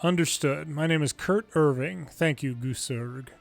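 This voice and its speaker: A man reading a game script aloud in an expressive, deliberate and dramatic way. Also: fairly high pitch, gravelly, faint music behind. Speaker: longplay video maker reading game dialogue.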